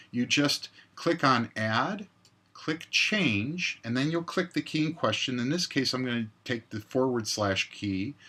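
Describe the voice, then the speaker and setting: A man presenting a step-by-step software tutorial in a voice-over. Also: medium pitch. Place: indoors, screen recording voice-over.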